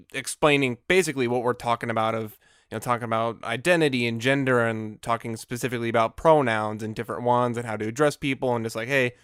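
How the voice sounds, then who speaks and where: Smooth-voiced man hosting a chat. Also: mid-range voice, a bit bright, no room sound, studio quality. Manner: asks questions, chatty and given to laughing. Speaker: podcast host. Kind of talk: casual chat.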